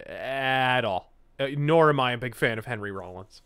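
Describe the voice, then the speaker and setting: A man's voice: medium pitch, expressive, normal speed, a bit bright, smooth, no echo. A man giving sarcastic, crude running commentary while playing a video game. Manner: sarcastic, snarky, crude jokes. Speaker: let's play host.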